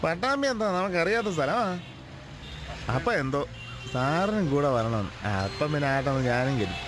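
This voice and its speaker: An actor playing a talkative, familiar driver with a chatty, colloquial manner in a radio drama. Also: male voice, fairly high pitch, big pitch swings, normal speed. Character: talkative, familiar male driver, irritable on the road. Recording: vehicle noise behind voice. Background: moving vehicle ambience.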